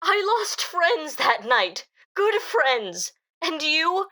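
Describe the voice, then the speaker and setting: Audio drama role, woman acting: distraught female, high voice, swears, defiant, sharp-tongued. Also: very animated, extremely slow, exaggerated voice. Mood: angry, bitter. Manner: emotional, swearing, bitingly sarcastic. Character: distraught, defiant woman haunted by a traumatic night.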